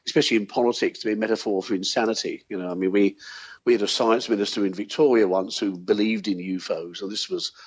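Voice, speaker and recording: Guy in a casual interview, lowish husky voice, bit hesitant, wry, rambles through his points. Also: quick pace. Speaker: interviewed UFO sceptic.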